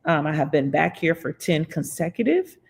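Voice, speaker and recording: A livestream host from Florida talks through viewer comments in a casual political chat. The voice sits at a middle pitch with a gravelly, creaky edge. The speaker laughs often and slows right down to press key points. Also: sing-song.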